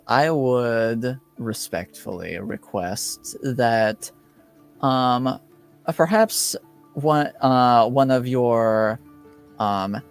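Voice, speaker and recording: A man voicing a stern, coldly polite noble father in a tabletop game, formal and measured. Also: medium pitch.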